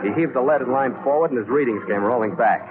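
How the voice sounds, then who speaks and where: A man's voice: mid-range voice, quick pace, natural voice. A man acting a seasoned ship's captain narrating his log in a terse 1940s radio adventure style. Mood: grave, suspenseful. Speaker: lead actor and narrator. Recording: tinny 1940s radio recording with music.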